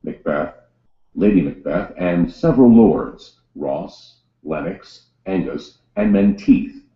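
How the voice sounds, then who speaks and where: A man's voice: deep voice, expressive, takes it slowly. A man reading scripted stage directions as the narrator of a play. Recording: roomy echo, slightly dull sound.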